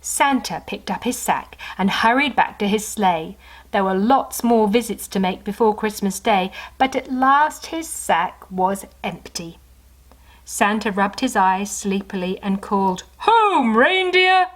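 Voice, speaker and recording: A woman narrating a children's storybook in an animated style, with chatty asides to young listeners. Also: mid-range voice, measured pace.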